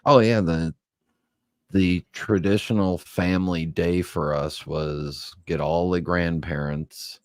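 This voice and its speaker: A man's voice: low voice, fairly even intonation, slow, no echo, studio quality. A man from Southern California co-hosting a casual chat, telling rambling stories and teasing his co-host.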